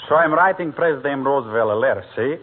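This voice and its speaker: A man's voice: mid-range voice, expressive, fast talker, close to an everyday voice. Radio comedy barber, played by a man: foreign accent, rambling, earnest, mangled English, narrow vintage broadcast sound. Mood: chatty, self-assured. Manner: rambling, mangled grammar and malapropisms. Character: talkative immigrant barber, earnest and self-assured. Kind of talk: 1940s radio comedy acting.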